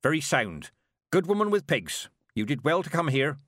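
A man's voice: on the high side, very animated, normal speed, fairly bright tone, no room sound, pitched above the performer's own voice. A man voicing a stern, dry old woman witch who speaks in clipped, commanding phrases.

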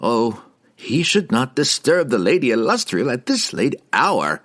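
A man's voice: fairly high pitch, huge pitch swings, normal speed, a bit bright, pitched above the performer's own voice, heavily acted. A man voicing a timid, easily cowed male wizard in a fantasy audiobook, speaking in a hesitant, stammering way. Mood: timid, protesting.

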